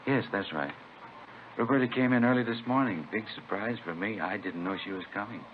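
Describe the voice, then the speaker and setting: Through a tinny, hissy old radio recording comes a man playing a trusting new father. His voice is fairly low, and he talks in simple, friendly phrases, proud and eager to oblige. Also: a little fast, lightly acted. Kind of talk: 1950s radio drama acting.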